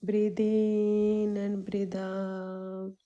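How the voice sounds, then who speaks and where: A woman leading a guided meditation as a yoga teacher, giving soothing, unhurried instructions with long pauses between short phrases. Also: mid-range voice, completely flat delivery, mellow, lo-fi sound.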